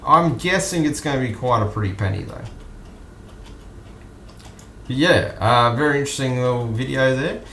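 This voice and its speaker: An Australian man hosting an online news stream, commenting casually and off the cuff in a halting, musing way. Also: medium pitch, gravelly, fairly bright tone, a little hiss or hum. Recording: roomy echo with music behind.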